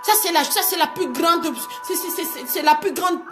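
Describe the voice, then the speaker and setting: High-pitched woman giving a motivational talk. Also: normal speed, over music. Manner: animated, exhortative, repeats phrases for emphasis, rhetorical questions. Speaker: motivational coach hosting a livestream. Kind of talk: motivational live talk to online viewers.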